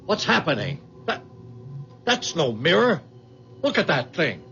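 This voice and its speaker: A man's voice: on the high side, sing-song, a little slow, gravelly, pushed and bright, full character voice. An actor playing an angry, suspicious man who bullies and sneers impatiently in a 1970s radio drama. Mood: alarmed. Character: angry, bullying, suspicious male accuser. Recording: dull 1970s radio recording with music.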